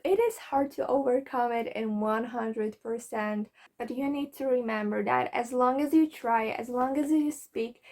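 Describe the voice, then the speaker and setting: A woman's voice: a little high, measured pace, hardly any pauses, darkish tone. A woman from Poland, a Polish native speaker with a Polish accent, giving frank, earnest language-learning advice straight to camera in a vlog. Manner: earnest, frank, occasionally swears when fired up. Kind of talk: direct-to-camera advice talk.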